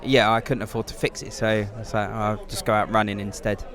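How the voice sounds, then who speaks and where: A man's voice: on the low side, little pitch movement, fast, fairly bright tone. A man telling casual anecdotes in conversation, with a dry, self-deprecating sense of humour. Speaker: podcast guest.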